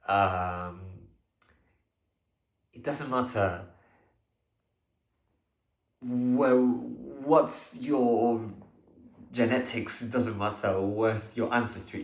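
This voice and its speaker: Slow, hesitant man in an echoey room. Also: lowish voice. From Argentina. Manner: hesitant, many fillers and restarts, unscripted. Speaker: vlogger. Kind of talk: casual monologue to camera.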